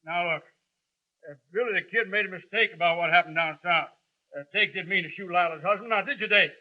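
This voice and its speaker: Man voicing a jittery wheedling outlaw, folksy talk, slightly high voice, muffled old radio sound. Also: a little fast, close to an everyday voice. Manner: wheedling, nervous, folksy drawl. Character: nervous, blustering frontier outlaw brother. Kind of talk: 1950s radio drama acting. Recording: dull old radio recording.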